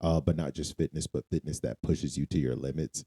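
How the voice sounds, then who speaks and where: A man's voice: very deep voice, steady tone, fairly quick, mellow, slightly rough. A man co-hosting a podcast, speaking casually and conversationally, close to the microphone. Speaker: podcast co-host. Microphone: podcast microphone close to the mouth.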